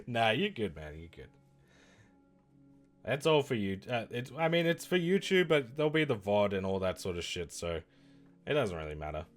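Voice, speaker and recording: Lively, rambling man streaming, losing voice. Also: medium pitch, a little slow, long pauses, soft background music. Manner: rambling, self-correcting, lively. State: losing his voice. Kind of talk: casual livestream chat reviewing games.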